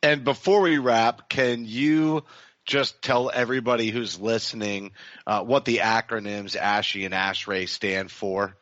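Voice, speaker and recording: A male podcast host with a fairly low voice talks conversationally and politely with a guest. The sound is dry and a little dull. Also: fairly even intonation, measured pace, fairly bright tone, no echo. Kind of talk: conversational interviewing. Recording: slightly dull, lo-fi audio.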